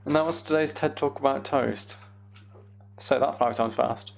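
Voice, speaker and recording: A man streams a game and chats casually as he goes. His voice has a gravelly, fry-edged texture at an ordinary male pitch. He rambles with playful exasperation, and light noise sits behind him. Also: moderate pace. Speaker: game streamer hosting a live chat stream. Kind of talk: casual gaming commentary and chat.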